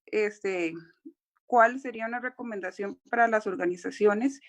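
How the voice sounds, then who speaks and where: Woman, audience member, mid pitch, winding and filler-heavy questioning on a video call. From Costa Rica. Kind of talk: formal question at a symposium.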